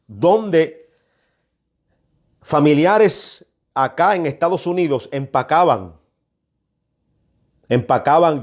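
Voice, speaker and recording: A man's voice: mid-range voice, a little mellow. A man preaching a prophetic message, emphatic and admonishing, often repeating his phrases for weight. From New York, United States. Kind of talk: prophetic sermon, exhortation.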